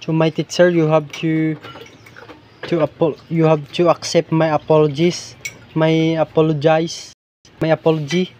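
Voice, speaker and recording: A man from the Philippines with a Hiligaynon-flavored Filipino accent and Hiligaynon as his first language, narrating over nature footage in English, halting and repeating words as he hunts for the right phrase. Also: a little high, flat delivery, darkish tone, a little hiss or hum. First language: Ilonggo (Hiligaynon). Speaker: vlogger filming larvae in a field.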